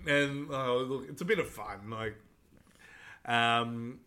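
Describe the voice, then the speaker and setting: A man's voice: mid-range voice, slow, pushed and bright. A young Australian man co-hosting a casual podcast, laid-back with mock-serious asides. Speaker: podcast co-host. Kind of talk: casual chat.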